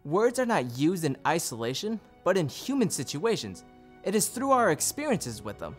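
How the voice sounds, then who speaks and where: A man's voice: high-pitched, very animated, normal speed, soft background music. A man giving a scripted, staged recitation as a readers theater performer.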